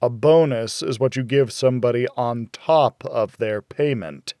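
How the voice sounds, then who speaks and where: A man voicing a gruff, weary, stubborn loner in a hardboiled noir audiobook, terse and world-weary. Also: mid-range voice, unhurried, dark tone, dry recording, clean studio sound, close to an everyday voice. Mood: curt, guarded.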